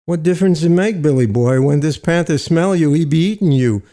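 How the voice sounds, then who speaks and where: A man's voice: a little high, a little fast, dark tone, voice pushed up, close to an everyday voice. Man voicing wry streetwise prison inmate, colloquial. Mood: teasing, insistent. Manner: vernacular, colloquial phrasing.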